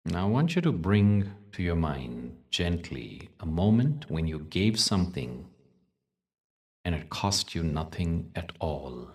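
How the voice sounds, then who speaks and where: A man leading a guided meditation in a soothing, measured way, leaving long pauses between short phrases. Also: deep voice, very animated, darkish tone, distant mic. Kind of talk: guided meditation with spoken teaching.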